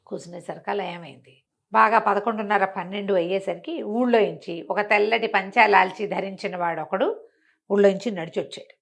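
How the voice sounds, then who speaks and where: A woman telling a bedtime story conversationally, in an expressive, explanatory way. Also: medium pitch, a little fast, gravelly. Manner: expressive, explanatory, acts out characters' dialogue.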